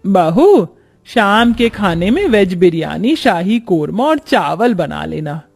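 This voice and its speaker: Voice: medium pitch, very animated, slow pace, creaky, darkish tone, faint music behind, exaggerated voice. A man voicing a middle-aged mother-in-law in a family cartoon, bossy but caring, giving familiar instructions.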